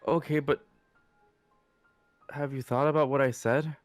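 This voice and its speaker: A man playing a reformed young villain in an improvised game roleplay, meek, earnest and halting as he pleads. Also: mid-range voice, fairly even intonation, dry recording, lightly acted. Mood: subdued concern. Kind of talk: improvised Minecraft roleplay acting. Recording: music behind the voice.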